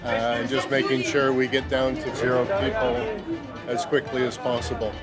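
A man's voice: medium pitch, little pitch movement, normal speed, over a noisy crowd. A man working as a ship crew member, explaining a procedure matter-of-factly. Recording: loud music under the voice. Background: loud music.

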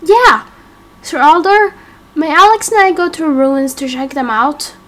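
A woman's voice: high voice, unhurried, a bit bright. A woman voicing a brash, cocky seven-year-old boy in a game roleplay, eager, teasing and boastful. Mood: excited. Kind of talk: amateur game roleplay acting.

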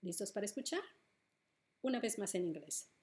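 A middle-aged woman hosting a bilingual storytime as a children's librarian, reading picture books aloud with deliberate phrasing for small children. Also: mid-range voice, animated, dark tone. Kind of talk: bilingual picture-book read-aloud for preschoolers. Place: living room at home, indoors.

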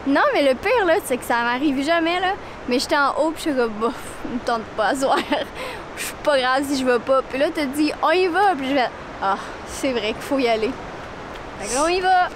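A woman's voice: high-pitched, brisk, background noise. A woman in her thirties with a Quebec French accent, chatting expressively in a travel vlog outdoors, filmed on a handheld camera. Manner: animated, expressive, laughing. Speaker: travel vlogger. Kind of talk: casual travel vlog chat. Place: outdoors by the sea. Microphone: camera at arm's length.

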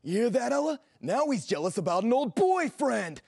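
Cranky old husband, creaky and high-pitched. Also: male voice, sing-song, normal speed, darkish tone, dry recording, studio quality, exaggerated voice. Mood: indignant, boastful. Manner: grumbling, indignant. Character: cranky, boastful old husband, a retired garbage man.

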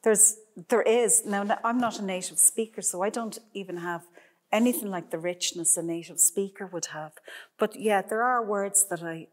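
A woman's voice: medium pitch, moderate pace. A middle-aged woman, a painter, chatting in a gallery, rambling with many restarts and fillers. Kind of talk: casual conversation.

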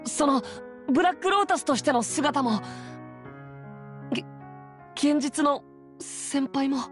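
Shy teenage boy hero, very high voice, hesitant, eager, polite, anime game, background music. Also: takes it slowly. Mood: flustered, shy. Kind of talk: Japanese anime visual novel acting.

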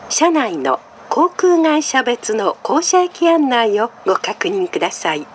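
A woman's voice: on the high side, big pitch swings, slow pace. A woman reading scripted train announcements in a polite, formal, carefully enunciated way, played over a monorail car's speakers. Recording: train cabin noise behind announcement.

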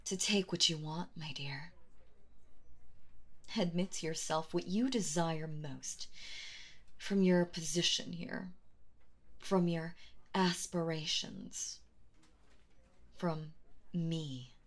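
A woman performs a cool, possessive female boss in an intimate ASMR roleplay. Her voice sits a little low and moves very slowly, hushed and deliberate. She is commanding and flirtatious, addressing the listener with total composure. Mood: coolly flirtatious. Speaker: voice actress.

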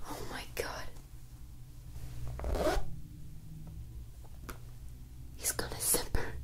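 A young woman playing a fantasy woman who is puzzled by modern things, whispering softly into a close mic with dramatic, playful reactions. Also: close to an everyday voice. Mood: alarmed. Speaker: ASMR roleplayer. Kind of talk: ASMR roleplay.

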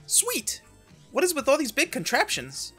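A woman voicing an excitable, easily exasperated young boy in a web comic dub, with a casual, exclamatory delivery. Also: sits high, measured pace, soft background music, exaggerated voice. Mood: excited, curious.

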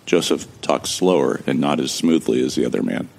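A man's voice: very deep voice, fairly quick, creaky, a little mellow. A man narrating a sci-fi audio drama in the first person, in a wry, joking and casual way. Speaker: narrator and protagonist.

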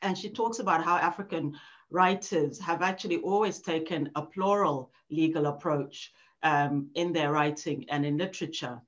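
A woman's voice: low voice, normal speed. A middle-aged woman giving a thoughtful academic talk from home on a video call, with frequent ums and restarts. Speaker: author at a book launch. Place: home room. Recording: dull lo-fi video call audio.

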